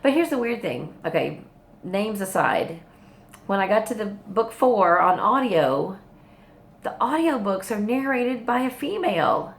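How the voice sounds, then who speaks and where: A woman's voice: medium pitch, big pitch swings, measured pace, gravelly, echoey room. A woman chatting casually to viewers as a book vlogger, rambling hesitantly, often trailing off and backtracking. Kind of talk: casual rambling video chat. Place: hospital room.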